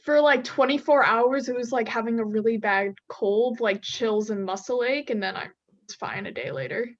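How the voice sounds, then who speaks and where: Casting: female student in class, casual and chatty, higher voice, heard from a distance on a dull recording. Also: normal speed.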